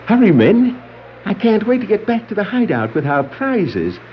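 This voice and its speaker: Cackling clown supervillain, wildly swooping voice. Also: male voice, high-pitched, big pitch swings. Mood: gloating. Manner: gloating, exclamatory, drawn-out delivery. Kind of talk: 1960s children's record radio-play acting. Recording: dull, noisy 1960s vinyl record dramatization.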